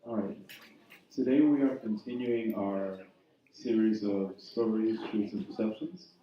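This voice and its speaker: A man leading prayer and interviewing a church member, friendly and brisk. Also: fairly low pitch, steady tone. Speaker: service moderator and interviewer. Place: church sanctuary. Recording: echoey room from far off, dull.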